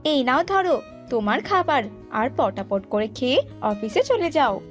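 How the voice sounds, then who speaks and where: A sharp-tongued bird wife in a cartoon , acted by a woman. She is very high and animated, nagging with open scorn, over faint music. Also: unhurried. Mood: annoyed, scornful.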